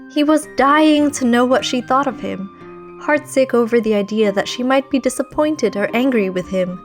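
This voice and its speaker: A woman reading scripted story narration in an expressive, dramatic manner. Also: fairly high pitch. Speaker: fan fiction narrator. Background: music behind the reading.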